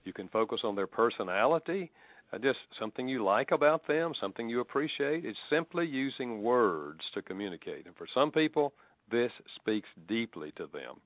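A man's voice: medium pitch, lively intonation, normal speed, dry recording. A man with a Southern US accent, a radio guest and author, explaining in a folksy, plain-spoken way with chuckles. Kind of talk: radio interview. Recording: phone-line guest, dry.

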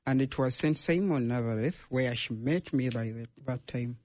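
Soft-spoken Ugandan man. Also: mid-range voice, normal speed, no echo. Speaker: radio guest. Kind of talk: interview answers.

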